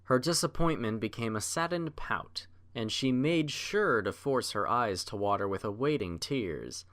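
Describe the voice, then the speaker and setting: A man narrating a scripted story as an audiobook reader, in measured literary storytelling. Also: mid-range voice, animated, fairly bright tone.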